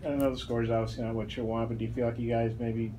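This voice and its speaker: A man's voice: medium pitch, little pitch movement, quick pace, mellow, slight background noise, rough recording. A man, a reporter, asking questions at a press conference and repeating words as he starts.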